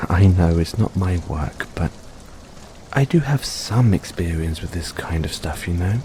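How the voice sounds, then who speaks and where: A man playing a low, mellow, caring boyfriend. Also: lively intonation, a little slow, slight background noise. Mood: reassuring. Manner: soft-spoken, playful, coaxing, chuckles often. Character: caring, gently teasing boyfriend soothing an overworked partner. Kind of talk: intimate boyfriend comfort audio roleplay.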